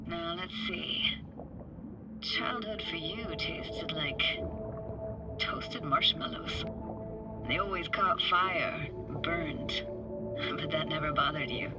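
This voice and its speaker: A woman's voice: low voice, slow pace, long pauses. An actress playing a guarded, nostalgic young woman, tech-savvy and street-tough, in naturalistic video game dialogue with casual, clipped phrasing. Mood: gently reflective. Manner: casual, clipped phrasing, dropping sentence subjects. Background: music.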